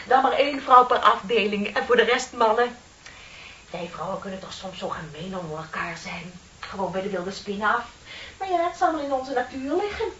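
An actress playing a chatty, dreamy younger female office clerk in a Dutch radio play, with a theatrical, wryly ironic way of talking. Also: high voice. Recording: dull, hissy old radio recording.

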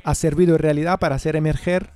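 A male radio host from Spain. His mellow, slightly high voice presents and questions in articulate, measured phrases in a studio. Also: steady tone.

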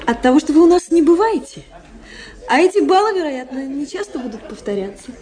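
High, haughty young princess. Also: female voice, expressive, fairly quick, dark tone. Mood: cool, haughty. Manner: haughty, teasing reserve. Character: proud young princess, cool and coquettish. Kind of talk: radio play acting.

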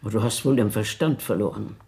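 A woman's voice: rumbling low, quick pace, gravelly, warm tone. An actress in a German radio play voicing a shrewd, bossy old grandmother, emphatic and sardonic in a theatrical way. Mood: scolding, sardonic. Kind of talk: German radio drama acting.

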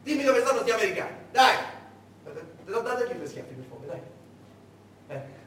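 A man's voice: very high voice, sing-song, a little fast, a bit bright, heavily acted. An actor playing a bullying male wartime interrogator in radio theatre, taunting and falsely coaxing, with a commanding manner. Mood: coaxing, impatient. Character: bullying male Nazi-era interrogator, mocking, coaxing, turning furious. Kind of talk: live radio theatre acting. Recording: very echoey hall, distant mic, noisy.

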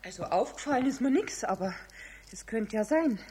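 A woman's voice: fairly high pitch, expressive, normal speed, warm tone, natural voice. An actress playing a polite neighbourly woman in a radio play, speaking naturally. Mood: polite, pondering. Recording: 1970s radio play, faint background noise.

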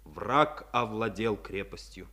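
A man narrating a 1950s Soviet war radio play in a solemn, measured, scripted dramatic style. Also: lowish voice, sharp tone, exaggerated voice.

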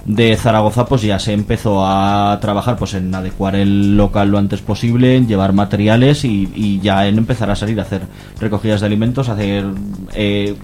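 A man's voice: lowish voice, steady tone, normal speed, a little mellow, a little hiss or hum. A man with an accent from Spain explaining things as an activist guest in a radio interview, in a hesitant, careful way. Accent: Spanish from Spain.

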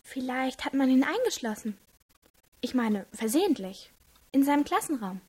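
An actress playing an earnest teenage daughter, eager to explain, in a radio crime drama. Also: female voice, high voice, big pitch swings, normal speed, darkish tone, breathy edge, heavily acted.

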